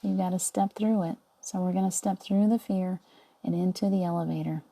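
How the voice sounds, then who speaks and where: A middle-aged woman leading a guided visualization meditation in a soothing, coaxing way, often dropping to a soft whisper. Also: lowish voice, steady tone, a little fast, vocal fry.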